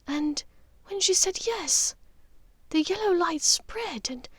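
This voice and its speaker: Audiobook role: gentle, troubled aristocratic witch played by a female narrator, high, soft, measured, dry recording. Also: lively intonation, dry recording, heavily acted. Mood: wistful. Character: gentle, troubled upper-class witch and mother, wistful.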